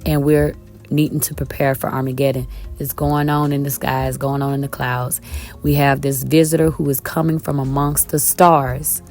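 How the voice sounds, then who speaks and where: A woman with a raspy, slightly hoarse voice, teaching scripture to her viewers from home in a casual way, calling them y'all with many ums. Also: extremely low pitch, level tone, normal speed, darkish tone, faint music behind. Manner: talks directly to viewers as y'all, with many um fillers. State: a little hoarse. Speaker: online Bible teacher. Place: at home with her children around.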